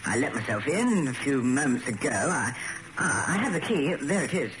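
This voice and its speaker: A man's voice: medium pitch, big pitch swings, moderate pace, fairly bright tone. An actor playing an anxious, well-mannered society hairdresser who stammers and flusters his way through a radio drama. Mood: nervous, flustered. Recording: noisy old radio drama recording.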